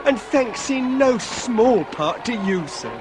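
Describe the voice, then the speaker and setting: An actor playing an eager, deferential young Victorian detective's assistant with a British accent and a florid, earnest manner in a period radio drama. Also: male voice, very high-pitched, animated, slow pace, over music, full character voice. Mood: awed, florid.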